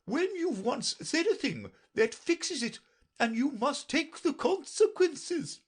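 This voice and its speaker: A reader voicing a bossy, imperious queen in a storybook audiobook, with a shrill, commanding manner and drawn-out emphasis. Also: sing-song, full character voice. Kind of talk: audiobook character voice.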